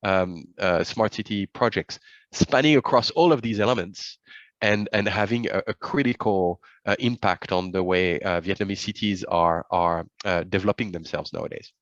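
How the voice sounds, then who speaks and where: A man with a very low voice walks through slides in a webinar, pausing often with fillers and restarting phrases. The audio is dull and lo-fi, like a video call, with a dry, close sound and no room echo. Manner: halting, many fillers and restarts. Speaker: webinar presenter.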